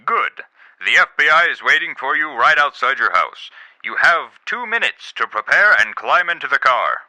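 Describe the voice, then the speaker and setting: A man playing a pompous, stern president with dry, deadpan insults in a comedy radio play. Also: mid-range voice, moderate pace, dry recording, low-quality recording. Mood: brisk, smug. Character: pompous, stern president giving a secret mission, dryly insulting.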